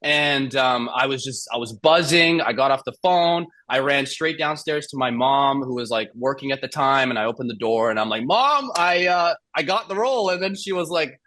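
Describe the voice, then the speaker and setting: Young male actor guest, relaxed rambling delivery, average pitch, close and dry. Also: moderate pace, hardly any pauses, dry recording. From Iran. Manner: rambling, many fillers and restarts. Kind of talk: casual interview storytelling.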